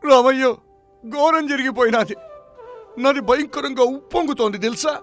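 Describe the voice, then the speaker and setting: Guy acting fretful village neighbour in a moral tale, high pitched, rustic talk, exclaims a lot. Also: moderate pace, a bit bright, soft background music. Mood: alarmed. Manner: rustic dialect, exclamatory, lamenting. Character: agitated, blunt village man, alarmist worrying neighbour. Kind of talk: moral-story dramatization.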